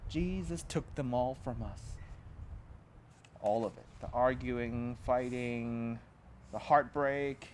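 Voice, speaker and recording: A man's voice: medium pitch, slow pace, a little mellow, slight background noise. A man reading a children's storybook aloud as a pastor, expressively and dramatically, with simple emphatic phrasing and asides to young listeners.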